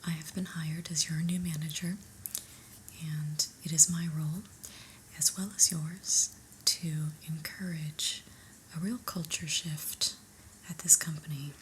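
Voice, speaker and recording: A woman playing an unimpressed, politely corporate new manager in a soft, whispered ASMR roleplay, with a measured, dryly disapproving manner. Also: low voice, fairly even intonation, a little hiss or hum, poor audio. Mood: politely businesslike.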